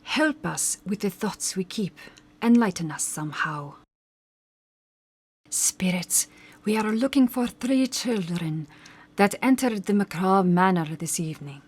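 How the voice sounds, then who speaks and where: A woman's voice: medium pitch, expressive, measured pace, a little mellow, breathy edge. A woman playing a formal, commanding and mysterious spirit medium in old-time radio drama style. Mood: solemn, commanding. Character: solemn theatrical female spirit medium.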